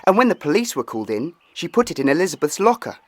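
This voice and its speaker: An earnest youth searching anxiously in a children's audio drama. He is polite and well-spoken, with a lively, expressive range. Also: fairly high pitch, quick pace. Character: earnest, worried young brother of a runaway girl.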